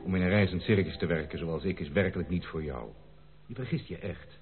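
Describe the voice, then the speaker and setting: A man's voice: deep voice, brisk, faint music behind. An actor playing a blunt, fatherly circus man in a Dutch radio play, scolding and coaxing as he talks. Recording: muffled, narrow-band old radio recording.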